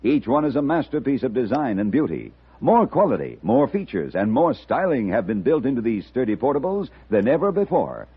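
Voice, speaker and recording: Animated, husky vintage ad announcer man. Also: medium pitch, brisk, hardly any pauses. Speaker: commercial announcer. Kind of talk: 1950s scripted radio ad. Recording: dull old radio broadcast.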